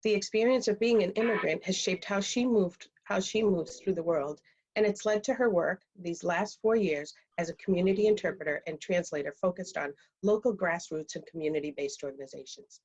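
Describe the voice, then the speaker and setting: A woman with a slightly low voice reads out speaker bios as a panel moderator, pausing often on ums. Her voice comes through a video call. The audio is dull and lo-fi. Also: a little mellow, slightly rough.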